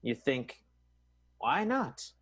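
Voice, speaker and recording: Glib, entitled theater manager, dry sound. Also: male voice, medium pitch, big pitch swings, drawn-out words, fairly bright tone, no room sound, exaggerated voice. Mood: glib, rueful. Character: self-entitled, hapless theater manager husband, glib storyteller. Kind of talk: improvised radio soap monologue.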